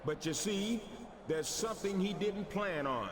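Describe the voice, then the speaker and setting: An actor playing a showy, vengeful wrestling general manager in a video game, announcing emphatically and theatrically over very noisy game audio. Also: male voice, sits high, animated, unhurried. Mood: accusing, dramatic.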